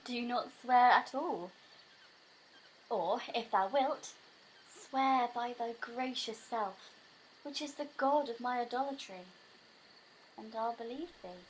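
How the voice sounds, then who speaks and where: Woman acting a lovestruck young maiden, high voice, slow theatrical verse, earnest and anxious, Shakespearean radio play. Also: rough recording. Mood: earnest, wary. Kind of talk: Shakespearean audio drama acting. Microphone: phone. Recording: home phone recording, faint noise.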